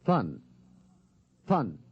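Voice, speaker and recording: An actor in a 1950s radio drama playing a mild, naive, bookish astronomer, hesitant and very polite. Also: male voice, medium pitch, wildly swooping pitch, extremely slow, breathy edge, full character voice. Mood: puzzled, mild. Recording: dull old radio recording.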